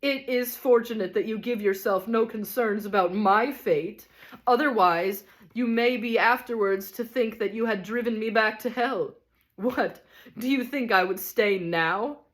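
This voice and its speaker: Woman reading as a grandly bitter stage-tragedian ghost, loud, slow, self-pitying. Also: fairly high pitch, pitched above the performer's own voice, close to an everyday voice. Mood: indignant. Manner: theatrical, declaiming, bitter. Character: pompous self-pitying male ghost actor.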